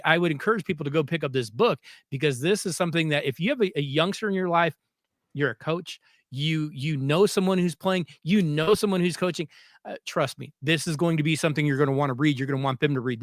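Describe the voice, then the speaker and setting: A man's voice: fairly high pitch, a bit bright, no echo, clean studio sound. A man hosting a conversational podcast interview, speaking emphatically and repeating words for stress. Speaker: podcast host interviewing a guest.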